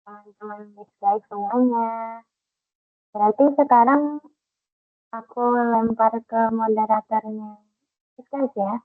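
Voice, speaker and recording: A woman's voice: a little high, fairly even intonation, slow pace, pauses often, no room sound, phone-quality sound, soft background music. A young woman casually moderating a student group call and handing over turns.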